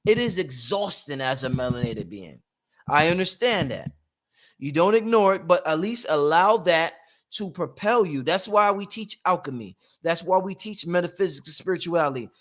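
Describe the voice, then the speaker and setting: High-voiced preachy call-in host, man. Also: lively intonation, moderate pace, fairly bright tone. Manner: preachy, emphatic, repeats phrases for stress. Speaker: host taking calls. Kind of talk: live call-in show talk.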